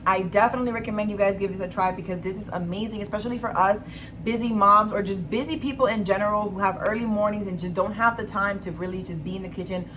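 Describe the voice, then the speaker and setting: A young woman with a raspy, hoarse voice, casually chatting through a favorites vlog with lots of enthusiasm. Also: medium pitch, steady tone, fast talker, noisy background. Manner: chatty, enthusiastic, many fillers. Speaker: beauty vlogger.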